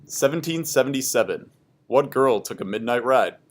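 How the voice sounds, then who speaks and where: A man's voice: mid-range voice, expressive, normal speed. A man reading scripted educational history narration aloud for a class.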